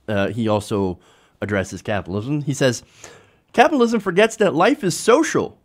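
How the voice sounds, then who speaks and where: An American man hosting opinionated political commentary, speaking emphatically and punctuating his points with rhetorical questions. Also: medium pitch.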